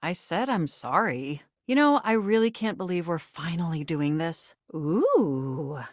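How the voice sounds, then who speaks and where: A woman's voice: fairly low pitch, big pitch swings, a little slow, dry recording, clean studio sound. A woman voicing a flirtatious, eager female computer character in a dating video game, speaking with wordy, precise techy diction and a theatrical flair. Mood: earnest, composed.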